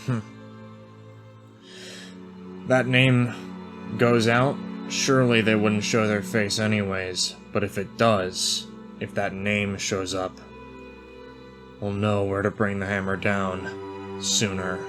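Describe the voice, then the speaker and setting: Male tabletop player, lower register, in-character lines and description over faint music. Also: little pitch movement, takes it slowly.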